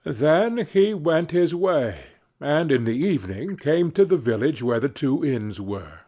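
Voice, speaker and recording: A man reading a fairy tale aloud as a storyteller, in a measured, expressive way. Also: on the high side, husky. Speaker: storyteller, podcast narrator. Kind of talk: scripted fairy tale narration.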